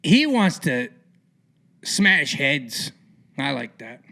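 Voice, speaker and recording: A man's voice: medium pitch, very animated, drawn-out words, gravelly, sharp tone. A man hosting a sports podcast, asking rambling, opinionated questions in an informal interview style. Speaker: podcast host interviewing a guest.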